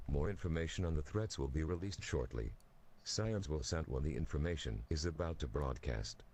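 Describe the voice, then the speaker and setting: A man's voice: rumbling low, darkish tone, slight background noise. A man playing a grave national emergency announcer, speaking in a stiff, solemn, formal way.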